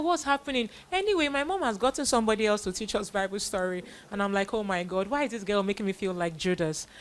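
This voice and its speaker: A woman with a Nigerian accent speaks to children as an event host. Her voice is high and bright, with a forceful edge. She tells stories in an exclamatory way, full of quoted dialogue. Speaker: children's Bible story host. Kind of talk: addressing children at a live event.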